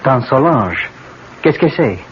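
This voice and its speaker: A man's voice: medium pitch, sing-song, unhurried. An actor playing an easygoing, wounded young man in a 1970s radio drama. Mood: calm, wry. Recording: muffled old radio broadcast, faint noise.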